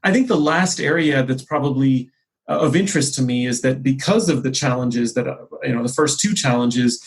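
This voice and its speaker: A man with a somewhat husky voice at an average pitch explains business ideas. He is conversational but measured, pausing mid-phrase and rewording his points as he goes. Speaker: company executive, interview guest.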